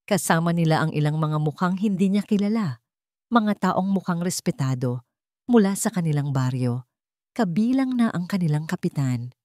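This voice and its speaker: A woman's voice: sits low, a little mellow, dry recording, studio quality. A woman reading out a dramatic story as its narrator, in scripted storytelling style.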